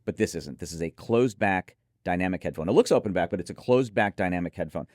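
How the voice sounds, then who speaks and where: Male review host, lower register, emphatic but conversational delivery, close dry sound. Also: fast, a little mellow, no room sound.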